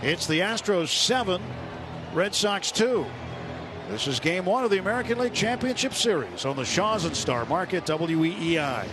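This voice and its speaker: A man's voice: sits high, very animated, moderate pace, music in the background. A man calling a baseball game live on the radio as the play-by-play announcer. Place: stadium broadcast booth.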